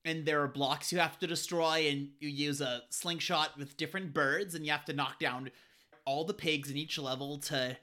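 A bright, sharp-sounding co-host joins a casual podcast chat. The answers come short and eager, in loose, rambling phrasing. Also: medium pitch, steady tone, normal speed.

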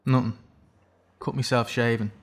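Male actor as young convict, mid-range voice, sardonic, halting, awkwardly sorry, audio drama. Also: mid-range voice, flat delivery, close to an everyday voice. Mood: defensive, awkward. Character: defensive, sardonic young prisoner, awkwardly apologetic.

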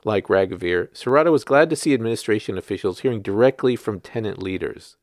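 A man's voice: lowish voice, animated, quick pace, no room sound, studio quality. A man working as a journalist, reading his written article aloud as scripted narration.

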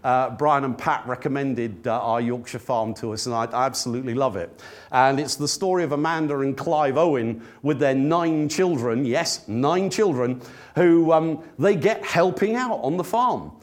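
A middle-aged man with a slightly croaky voice from a cold, giving a chatty, self-deprecating church sermon and reading scripture. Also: medium pitch, normal speed. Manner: self-deprecating, chatty, with frequent fillers and restarts. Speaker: lay preacher.

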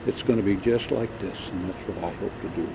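A man's voice: on the low side, quick pace, gravelly. Older man reminiscing, hissy lo-fi tape. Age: 70s. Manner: rambling, often stalls to search for names. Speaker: oral history interviewee. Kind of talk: casual reminiscing. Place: indoors in a large banquet room. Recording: lo-fi tape with loud hiss.

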